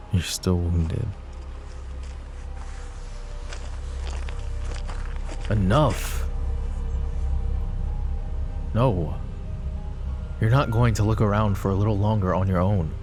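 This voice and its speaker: A man playing a possessive, overprotective mafia boss in an intimate roleplay audio drama, with a low gravelly voice and a measured, commanding manner. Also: a little mellow, natural voice. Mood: protective, agitated. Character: possessive, overprotective male mafia boss, curt but caring. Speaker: voice actor.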